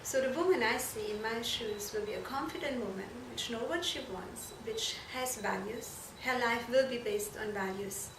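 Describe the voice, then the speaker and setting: A woman from Germany, answering interview questions earnestly and haltingly, correcting herself as she goes. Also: fairly high pitch. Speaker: fashion and shoe designer being interviewed. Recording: echoey room, distant mic, noisy background.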